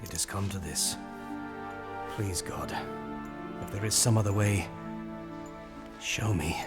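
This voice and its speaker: An actor playing a loyal knight and royal counsellor in an audio drama, speaking formally and persuasively. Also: male voice, fairly low pitch, slow, loud music behind the voice. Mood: prayerful. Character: loyal, earnest, firm knight counselling his king.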